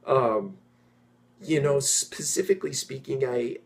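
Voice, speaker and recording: A man's voice: mid-range voice, unhurried, creaky. Middle-aged man, emphatic informal monologue. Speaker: property management executive and host. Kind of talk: informal monologue addressed to industry peers.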